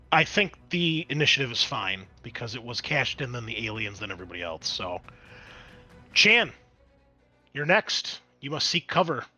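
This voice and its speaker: Bright-voiced man running a tabletop game. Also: on the low side, slightly rough. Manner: casual swearing, muttering asides while working the game software. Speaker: game master. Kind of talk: running an online tabletop roleplaying game, casual. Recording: music behind the voice. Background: music.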